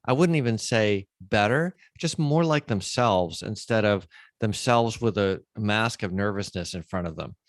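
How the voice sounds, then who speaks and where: A man's voice: lowish voice, a little slow, no echo, clean studio sound. A man hosting a podcast in a conversational style, recapping and putting points plainly. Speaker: podcast host.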